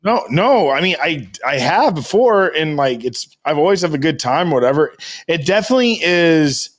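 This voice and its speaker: Casting: male co-host for a buddy podcast, a little higher-pitched, informal and slangy. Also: expressive, normal speed. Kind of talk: casual chat.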